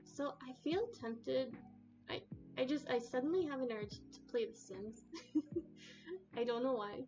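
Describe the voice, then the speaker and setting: A soft-spoken young woman with a quiet voice, chatting hesitantly and giggling often on a casual live stream. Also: a little high, lo-fi sound. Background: soft background music.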